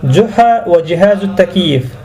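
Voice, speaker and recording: A man gives a language lesson in a high but soft-edged, mellow voice. He explains in a didactic way and sets out each example with deliberate care. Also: lively intonation. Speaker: language teacher.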